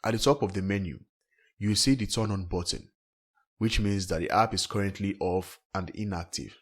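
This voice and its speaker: A man with a low-pitched, slightly rough voice guides listeners through a software tutorial. He speaks in a scripted, step-by-step way as a tech host. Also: moderate pace.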